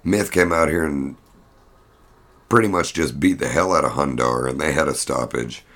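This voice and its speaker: A man's voice: very deep voice, normal speed, a bit bright. A man hosting a podcast, giving a casual fight recap in a blunt, rambling, profane manner. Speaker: podcast host.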